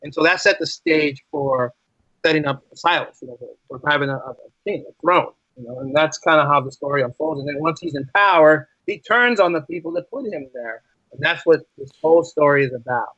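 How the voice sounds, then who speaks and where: Higher-pitched man, casual and explanatory. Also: brisk, husky, no echo, dull audio. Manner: animated explaining, repeats phrases, acts out imagined quotes. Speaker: podcast guest, writer. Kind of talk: casual interview conversation.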